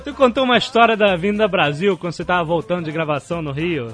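A man's voice: high voice, fast, over music. A Brazilian man interviewing a guest on a podcast in casual, agreeable chat, with short interjections and informal questions. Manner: agreeable, frequent short backchannels.

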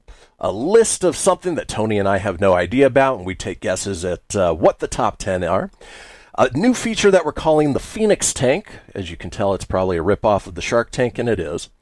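Lively male radio host, close and dry. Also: on the low side, no echo. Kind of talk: upbeat radio show hosting. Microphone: close studio radio mic.

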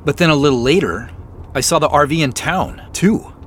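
An actor playing an inquisitive, slightly sheepish amateur sleuth in a naturalistic audio drama, talking in an easygoing, conversational way. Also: male voice, on the high side, normal speed, close to an everyday voice. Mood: puzzled, earnest. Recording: faint vehicle noise behind.

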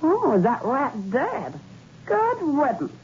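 High, swooping, sassy tough dame. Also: female voice, wildly swooping pitch, slow pace, a little mellow, exaggerated voice. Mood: scornful, defiant. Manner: curt, sarcastic, dismissive. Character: tough, sassy, cynical female former maid. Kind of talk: 1960s radio thriller acting. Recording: dull, hissy old radio recording.

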